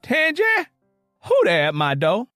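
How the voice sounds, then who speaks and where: A man's voice: a little high, big pitch swings, a little slow, a bit bright, soft background music, voice pushed up. A man voicing an elderly, welcoming grandmother in folksy Southern American dialect for an audio story. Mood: calling out, curious.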